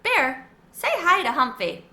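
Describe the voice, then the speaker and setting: A woman voicing an excited small boy who talks eagerly in a high, childlike way. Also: measured pace, heavily acted.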